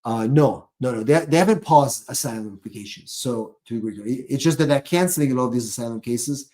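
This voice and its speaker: A man's voice: mid-range voice, fairly quick, dark tone. A man speaking off the cuff as an attorney hosting a live question-and-answer stream, emphatic and prone to repeating key phrases.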